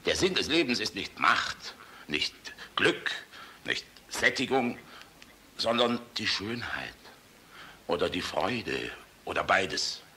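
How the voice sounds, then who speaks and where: An old army general in a German radio drama, gruff and fatherly. The voice is low and sharply forceful, swinging widely from blustering outbursts to hushed musing. He argues rhetorically, with passion and weariness. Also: sing-song, slow pace, slight background noise, full character voice. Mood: passionate, fatherly. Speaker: actor in a radio play.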